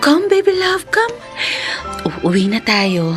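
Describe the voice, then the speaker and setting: A woman's voice: sits high, big pitch swings, slow pace, loud music behind the voice, heavily acted. An actress playing a polite, yielding young mother in a Filipino radio drama, speaking hesitantly. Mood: worried.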